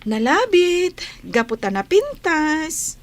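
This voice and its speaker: Woman acting high-voiced, unhurried village woman. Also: sing-song, exaggerated voice. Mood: friendly, chatty. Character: village woman in an Ilocano radio comedy drama. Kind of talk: radio drama acting.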